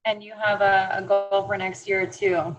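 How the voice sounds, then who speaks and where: A woman's voice: medium pitch, level tone, a little slow, highs cut off, over music. An American woman chatting casually as a podcast co-host. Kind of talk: casual chat.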